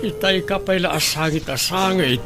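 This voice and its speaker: A man's voice: high-pitched, unhurried. Radio drama part: male actor as a consoling rural uncle, resigned and grave, music underneath. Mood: somber, resigned. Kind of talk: Ilocano radio drama acting. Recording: music behind.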